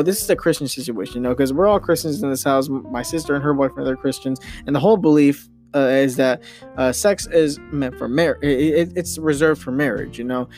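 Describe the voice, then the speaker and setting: A man's voice: medium pitch, a little mellow. A young man hosting a solo podcast, ranting casually with many restarts and mild swearing. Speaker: podcast host.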